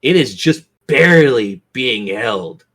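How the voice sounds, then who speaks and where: A man acting as game master, narrating and refereeing a tabletop game in a suspenseful, drawn-out way. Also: mid-range voice, expressive, fairly bright tone.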